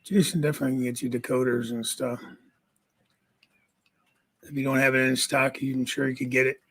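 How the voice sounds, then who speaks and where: A man with a raspy voice hosting a casual livestream from home, greeting viewers by name and chatting. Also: mid-range voice, little pitch movement, brisk, a bit bright. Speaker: livestream host.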